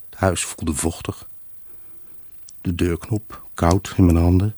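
An actor playing a lonely, grieving husband in an intimate radio drama monologue, brooding, halting and emotionally raw. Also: male voice, deep voice, a little mellow, breathy edge, dry recording, exaggerated voice. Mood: mournful, regretful. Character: grieving, haunted husband, lonely, obsessive and pleading. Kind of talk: Dutch radio drama monologue, intimate first-person narration.

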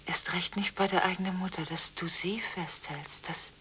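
An actress in a radio drama playing an emotionally torn daughter on the phone, halting and often near a whisper, on a hissy recording. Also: female voice, lowish voice, fairly even intonation, a bit bright, natural voice. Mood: tender, hushed. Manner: halting, stammering, pleading, breaking into whispers. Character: distraught, emotionally torn daughter on a late-night phone call. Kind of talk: 1980s German radio drama acting.

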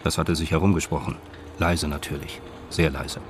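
An actor as the detached narrator of a radio crime drama, telling it in a measured, matter-of-fact way. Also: male voice, very deep voice, fairly even intonation, faint music behind. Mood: detached narration. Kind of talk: scripted crime story narration.

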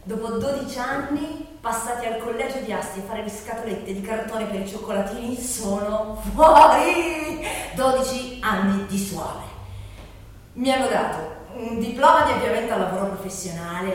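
A woman's voice: fairly high pitch, normal speed, far from the mic, close to an everyday voice. A woman playing an earnest young left-wing woman in a dramatic monologue, with a declarative delivery. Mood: excited, anxious. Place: large reverberant room, distant mic. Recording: echoey hall from afar, noisy.

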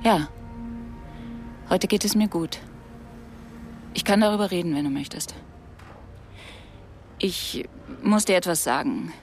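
Sad but composed mom in her 30s, lowish tender voice, radio play with music behind. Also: takes it slowly, long pauses. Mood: composed sorrow. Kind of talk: intimate radio drama dialogue. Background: music under the voice.

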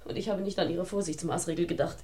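A woman's voice: on the low side, steady tone, fast, dark tone, close to an everyday voice. An actress playing a worn-down, anxious mother under threat in a German radio crime play, polite and weary. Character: worn-down, frightened mother being blackmailed.

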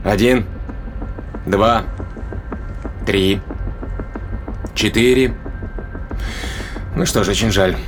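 A man's voice: on the low side, extremely slow, pauses often, soft background music. A man playing a tough, sardonic spaceship crewman in an audio drama, questioning a captive in pointed, colloquial speech. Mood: cold, threatening.

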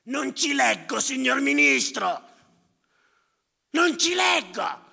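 An actor playing a bitter, sardonic general who tallies the dead, declaiming in a rasping theatrical monologue with repeated phrases. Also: male voice, very high-pitched, sing-song, takes it slowly, bright, forceful tone, heavily acted. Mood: frantic, exasperated. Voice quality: gravelly, rasping. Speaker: stage actor performing a monologue. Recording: reverberant theatre hall.

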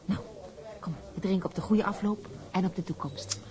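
A woman's voice: on the low side, fairly even intonation, moderate pace, a crowd in the background, close to an everyday voice. A woman playing a tender, reassuring sailor's sweetheart in a Dutch radio drama, speaking soothingly. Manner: soothing, affectionate.

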